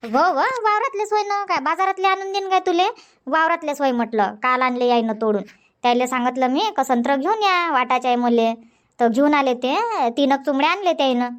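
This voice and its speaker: A woman's voice: high voice, a little fast, natural voice. A woman voicing a bossy, chatty village farm woman in a cartoon comedy, insistent and scolding, with a Varhadi Marathi accent from Vidarbha. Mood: chatty, grumbling. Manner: insistent, scolding, rhetorical questioning.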